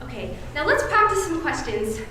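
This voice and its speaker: Young woman acting fussy, very high-pitched genteel matron. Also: very animated, normal speed, bright, forceful tone, exaggerated voice. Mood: coaxing, instructive. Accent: American. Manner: sugary, mannered, coaxing, flustered exclamations. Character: fussy, genteel Southern matron aunt, cajoling and easily flustered. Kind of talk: high school stage play acting. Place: indoor school stage, filmed performance. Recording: echoey hall, distant camera, faint noise.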